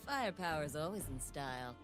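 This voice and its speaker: A woman's voice: low-pitched, very animated, brisk. A woman playing a cool, self-assured, dangerous flirt in a video game, speaking suggestively. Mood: cool menace. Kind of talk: video game character voice lines. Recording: game audio under music.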